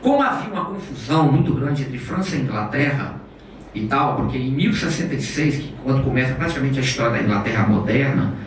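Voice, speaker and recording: A man giving a public lecture in an auditorium, telling history in a rambling, animated way full of restarts, recorded from far off. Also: mid-range voice, fast, slight background noise. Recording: echoey hall recorded from far off.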